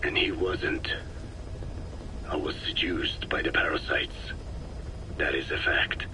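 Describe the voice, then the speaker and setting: An actor playing a very old Navajo scientist from the American Southwest who speaks slowly and solemnly, recorded as a game cassette tape with hiss. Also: male voice, steady tone, whispered, rough recording. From Navajo Nation, American Southwest. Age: older. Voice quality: low, slow, measured and solemn, often breathy.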